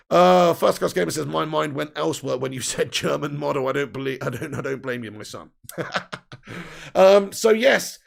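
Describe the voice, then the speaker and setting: Croaky, gravelly man ranting. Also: on the high side, expressive, moderate pace, pauses often. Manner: heated, sweary ranting with laughs. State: croaky, tired voice. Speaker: football fan channel host. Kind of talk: live stream chat reading viewer comments.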